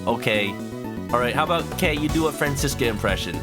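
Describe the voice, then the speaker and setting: A man's voice: medium pitch, lively intonation, brisk. A man streaming a video game, chatting playfully and reading game text aloud. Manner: playful, often reads game text aloud and shouts catchphrases. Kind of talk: casual gaming commentary. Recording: game music behind the voice.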